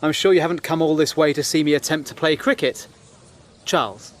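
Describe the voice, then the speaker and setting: Man acting as educated British gentleman, fairly high voice, dry, sceptical, pointed, audio drama dialogue. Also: brisk, close to an everyday voice. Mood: dry, wry.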